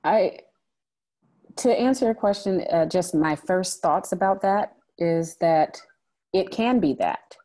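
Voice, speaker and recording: A woman with a notably low voice co-hosts a relaxed online discussion over a video call. She thinks aloud with frequent "um"s and restarts. Her tone is reflective and unhurried. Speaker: co-host of a discussion salon. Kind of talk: casual group discussion.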